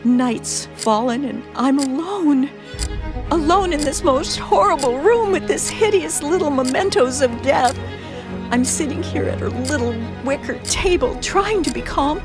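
An actress playing a frantic, terrified middle-aged woman held captive, halting and stammering in the manner of an old radio suspense play. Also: high voice. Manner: breathless, stammering, pleading, halting. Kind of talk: old-time radio suspense drama acting.

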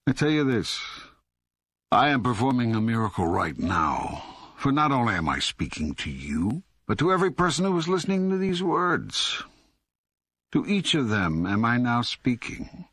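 An older man playing God as a calm, patient, authoritative teacher in an audiobook, speaking in a measured, deliberate way with a gravelly, husky voice. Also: mid-range voice, dry recording. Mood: solemn, assuring. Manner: measured, deliberate, emphatic phrasing with pauses. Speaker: audiobook narrator. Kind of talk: scripted audiobook dialogue reading.

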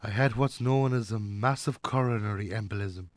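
An actor playing a gentle, wistful Irish father of a grown son in a naturalistic radio drama. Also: on the low side, normal speed, dry recording, lightly acted. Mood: reflective, regretful.

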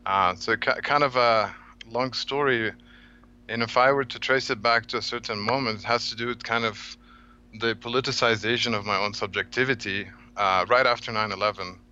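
Bright-toned man, halting and reflective. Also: mid-range voice, steady tone, clean tone, lo-fi sound. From Egypt. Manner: halting, many "uh" fillers. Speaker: author guest. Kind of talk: podcast interview, reflective storytelling.

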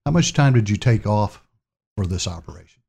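Interviewer, male, low mellow voice, short pointed questions. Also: expressive, fairly quick, vocal fry. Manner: brief, probing questions.

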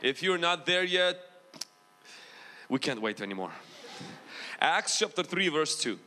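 A man's voice: a little high, big pitch swings, measured pace, pushed and bright. A man with a mixed Ukrainian and American accent preaching a Pentecostal sermon in a large church and calling the congregation to answer him. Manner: preaching with rising intensity, drawing call-and-response from the congregation. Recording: long hall reverb in a church.

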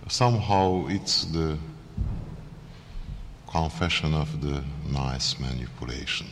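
A man with a heavy Hungarian accent, an author introducing his reading haltingly, with many pauses and fillers. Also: a bass voice, slight background noise. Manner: hesitant, many fillers, slow and careful. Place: church hall. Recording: reverberant church, faint ambience.

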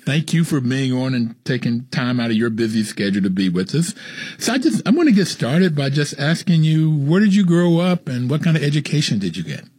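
A man's voice: medium pitch, big pitch swings, fairly quick, few pauses, darkish tone, husky. A man hosting a radio talk show, greeting listeners and leading a guest with friendly questions and short murmured replies. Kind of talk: radio interview.

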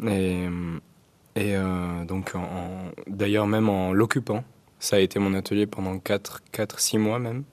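A man's voice: lowish voice, darkish tone. A young man in his twenties, Arabic his first language, answering interview questions hesitantly with many fillers and restarts. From Algeria. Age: 20s. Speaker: guest artist. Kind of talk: radio interview.

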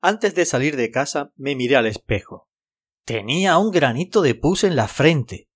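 A man's voice: a little high, lively intonation, moderate pace, husky. A man playing a lonely, lovelorn and self-deprecating narrator in a scripted audio fiction. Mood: nervous, self-mocking.

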